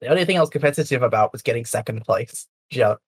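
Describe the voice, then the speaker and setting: A young man from Yorkshire with a light Yorkshire accent, chatting casually as a guest, soft-spoken and a bit hesitant. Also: mid-range voice, expressive, quick pace, breathy edge, no echo. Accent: light Yorkshire English. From Yorkshire, England. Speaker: speedrunner guest. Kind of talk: casual chat.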